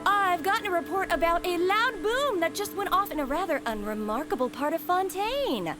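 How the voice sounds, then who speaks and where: High, animated woman announcer over music. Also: normal speed. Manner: jokey, announcer-like. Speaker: co-presenter on a game update broadcast. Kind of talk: playful scripted presenting. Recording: loud music behind.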